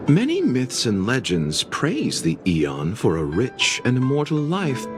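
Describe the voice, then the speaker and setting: A secretive, impeccably polite travelling merchant from a video game. His pitch swoops dramatically up and down while he speaks very slowly, formal and evasive, over clear background music. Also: wildly swooping pitch. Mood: musing, philosophical. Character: courteous, secretive male merchant delivering a coffin. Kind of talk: scripted video game dialogue.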